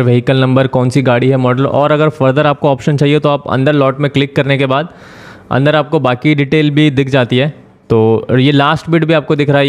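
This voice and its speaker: A man talking through a screen-share tutorial as the channel host, explaining step by step with frequent filler pauses. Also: medium pitch, a little mellow.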